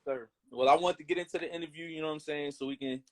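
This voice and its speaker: A man's voice: on the high side, steady tone, rapid-fire. A man hosting a casual interview, asking friendly questions in slangy speech with lots of "you feel me" and "you know". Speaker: interviewer and host.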